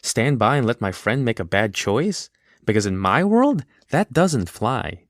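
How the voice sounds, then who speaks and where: A man plays a principled teenage boy from a comfortable background. He speaks politely and earnestly, with animated pitch movement. The recording is dry, in audio drama style. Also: unhurried, no room sound. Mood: concerned, pleading.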